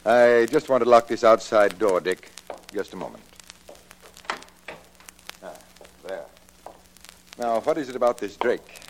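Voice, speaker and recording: An actor playing a courteous, trusting business boss in 1940s radio drama, with a polite, hesitant manner. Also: male voice, lowish voice, measured pace, long pauses, a little hiss or hum, close to an everyday voice, poor audio. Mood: businesslike.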